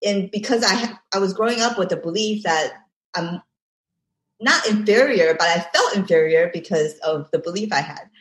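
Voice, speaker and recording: A woman from Taiwan, a guest in a casual interview, speaking reflectively, laughing softly between thoughts and repeating phrases for emphasis. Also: medium pitch, normal speed. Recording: roomy echo.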